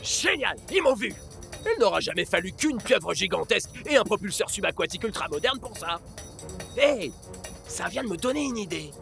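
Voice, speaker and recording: A plucky young cartoon sports hero. His voice is pitched very high with a gravelly fry, cheeky in manner, over prominent music. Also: big pitch swings, moderate pace, fairly bright tone, heavily acted. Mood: excited, indignant. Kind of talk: cartoon dubbing.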